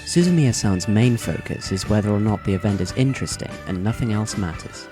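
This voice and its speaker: A man voicing a polite, smiling teenage boy who speaks in a calm, courteous, measured way. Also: fairly low pitch, music in the background, close to an everyday voice. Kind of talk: fan audio drama acting.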